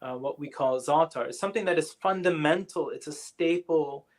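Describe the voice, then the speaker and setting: A man answering audience questions in an online lecture, speaking thoughtfully with many fillers, pauses and restarts. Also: on the high side. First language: English. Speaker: guest lecturer.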